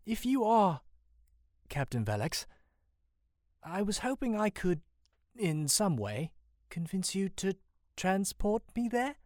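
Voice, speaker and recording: A man's voice: high voice, big pitch swings, slow pace, pauses often, a little mellow, dry recording, studio quality, pitched above the performer's own voice, full character voice. A man playing an anxious, polite young man with a hesitant stammer in an audiobook story. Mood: hesitant, pleading. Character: anxious, stammering young man seeking his lost grandfather. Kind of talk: audiobook character acting.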